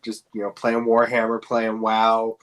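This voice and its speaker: A man's voice: lowish voice, monotone, measured pace, fairly bright tone. A man co-hosting a podcast, chatting informally and a little hesitantly about pop culture. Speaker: podcast co-host. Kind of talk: casual chat about games and film casting.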